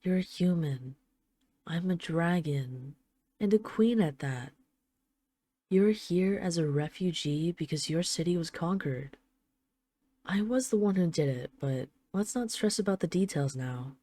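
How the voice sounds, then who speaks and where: A woman's voice: on the low side, lively intonation, a little slow, mellow. A woman playing a haughty, teasing dragon queen in an intimate audio roleplay, with an imperious, sarcastic, mock-sweet manner. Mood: condescending, matter-of-fact. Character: haughty, teasing dragon queen, possessive of her human pet husband. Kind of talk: intimate scripted audio roleplay addressed to the listener.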